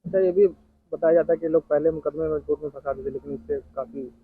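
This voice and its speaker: A man's voice: on the high side, fairly even intonation, quick pace, slightly rough, phone-quality sound. A man working as a field reporter, asking informal interview questions.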